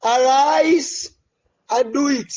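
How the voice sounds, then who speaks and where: A man leading an impassioned, pleading prayer, swinging between loud cries and low murmurs. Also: very slow. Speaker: prayer leader. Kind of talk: fervent spoken prayer.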